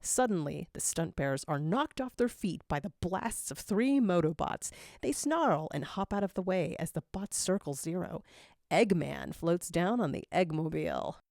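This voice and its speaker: A woman's voice: on the low side, animated, normal speed, vocal fry, a little mellow, no echo, clean studio sound. A woman reading scripted fiction aloud as a dramatic narrator.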